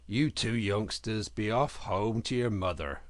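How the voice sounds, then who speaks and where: A man voicing a kindly older badger in a storybook, quiet and homely, with a simple, fatherly manner. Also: on the low side, measured pace, dry recording, pitched below the performer's own voice, lightly acted. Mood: kindly, firm.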